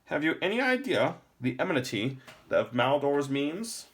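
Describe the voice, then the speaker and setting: A man's voice: a little high, sing-song, unhurried, a bit bright, pitched above the performer's own voice, heavily acted. A man voicing a stern, grave old lore master from a storybook, with archaic, admonishing speech. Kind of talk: storybook character acting in a read-aloud.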